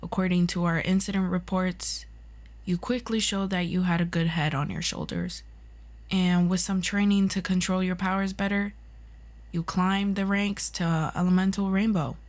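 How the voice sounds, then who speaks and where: A woman's voice: on the low side, steady tone, normal speed, a little mellow, natural voice. A woman playing a composed, formal and slightly condescending superhero agency official in an ASMR roleplay. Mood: formal, polite.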